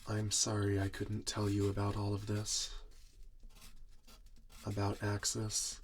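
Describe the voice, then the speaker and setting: A man acts out a doting, weary inventor father in an ASMR roleplay. He speaks softly and very slowly, with little rise or fall. The voice is low and tender, as he muses to the robot he built. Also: monotone, a little hiss or hum. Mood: wistful, regretful. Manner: slow, tender, musing, talking to a robot listener. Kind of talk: soft-spoken ASMR roleplay.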